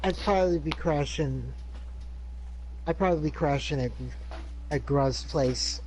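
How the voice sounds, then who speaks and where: A man's voice: a little high, a little slow, darkish tone, a little hiss or hum, rough recording. A man playing a tabletop game, thinking aloud as he decides what to do. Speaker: player. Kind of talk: casual tabletop game chat.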